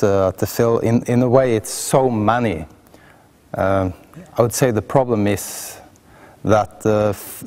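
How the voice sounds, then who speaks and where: A man's voice: on the low side, darkish tone. A middle-aged man from Oslo with a Norwegian accent, talking reflectively in an interview in a hesitant, thoughtful way. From Oslo, Norway. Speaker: orchestral cellist.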